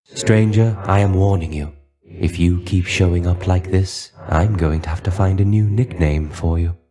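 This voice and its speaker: An actor playing a melancholy, guarded shade of a fallen Greek warrior in a video game, speaking with dry resignation and formal, old-fashioned phrasing. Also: male voice, sits low, moderate pace, natural voice. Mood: guarded, dismissive. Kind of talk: dramatic video game character voice acting.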